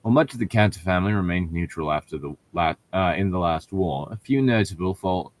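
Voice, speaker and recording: A man's voice: low voice, lively intonation, brisk. A man reading fan fiction aloud in a casual, mocking way, swearing and stumbling over words as he makes sarcastic asides. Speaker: co-reader on a fan fiction riffing show.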